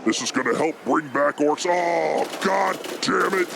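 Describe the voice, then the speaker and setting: Guy streaming a game, kinda high gravelly voice, annoyed banter, noisy background. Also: measured pace. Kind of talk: casual live game banter.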